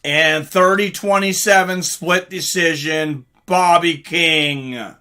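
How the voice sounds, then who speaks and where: Bright, higher-voiced man chatting on stream. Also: steady tone, slow pace, clear, resonant tone. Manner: chatty, informal, greets viewers and reads chat aloud. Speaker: live stream host. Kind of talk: casual live reaction chat and fight commentary.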